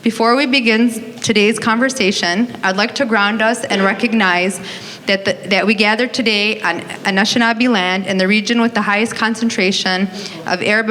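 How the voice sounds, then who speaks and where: A woman's voice: mid-range voice, a bit bright. Woman presenter reading scripted intros at a community event, reverberant hall. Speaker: museum deputy director introducing speakers. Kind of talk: prepared introduction read aloud. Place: auditorium. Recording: reverberant auditorium, faint noise.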